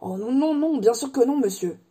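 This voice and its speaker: A woman voicing a big, gruff gamekeeper man in an audiobook, answering in a hurried, uneasy way. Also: medium pitch, dark tone. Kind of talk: audiobook character voice.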